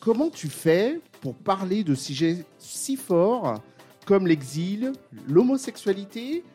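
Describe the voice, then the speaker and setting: Guy hosting, bit higher voice, reads his intro then asks stuff, faint music under. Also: big pitch swings, takes it slowly, mellow, breathy edge. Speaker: host. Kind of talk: interview hosting.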